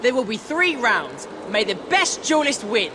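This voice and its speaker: Lively, high-voiced bossy schoolgirl. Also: a little slow, slight background noise, exaggerated voice. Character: bossy, eager teenage schoolgirl duelling club leader. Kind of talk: video game voice acting.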